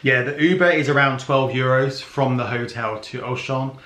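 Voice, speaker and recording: A man's voice: medium pitch, fairly even intonation, moderate pace, fairly bright tone. Chatty man in an echoey room. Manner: chatty, explanatory, many ums. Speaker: travel vlogger. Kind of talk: casual planning chat.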